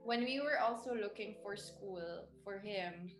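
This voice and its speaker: A woman's voice: mid-range voice, a little slow, roomy sound, soft background music. A young woman from the Philippines, a guest writer and mother, talking animatedly and laughing often in a podcast interview.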